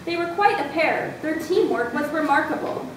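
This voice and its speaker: A woman's voice: expressive, normal speed. A woman reading scripted story narration as a narrator in amateur readers' theatre. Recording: distant, very echoey room, noisy with rumble.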